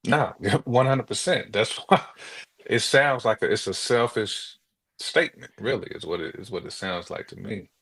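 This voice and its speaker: A man's voice: fairly low pitch, moderate pace, vocal fry, a bit bright, breathy edge. An American man co-hosting a talk show, chatting casually and giving his opinions.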